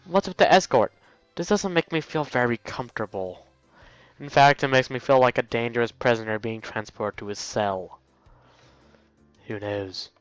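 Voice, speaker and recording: Young male let's play host, smooth voice, playful stumbling read, dry sound with music underneath. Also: mid-range voice, normal speed, dry recording. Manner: playful, stumbles over lines while reading. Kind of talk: reading a visual novel aloud with off-the-cuff commentary. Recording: dull, lo-fi mic with music. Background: game music.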